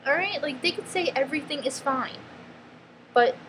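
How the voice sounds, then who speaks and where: A woman with a New York accent, talking in a blunt, emphatic confessional vlog, halting and restarting often and filling her speech with "freaking" and "like.". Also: high-pitched. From northeastern US, near New York. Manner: halting, restarts mid-sentence. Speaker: vlogger. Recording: noticeable background ambience noise.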